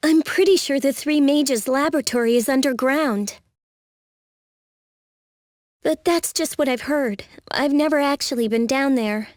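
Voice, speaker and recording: An actress playing a gentle, unsure young princess in a video game, soft-spoken and earnest. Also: female voice, high voice, unhurried, pauses often, no echo, clean studio sound. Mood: hesitant. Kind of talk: English video game dub acting.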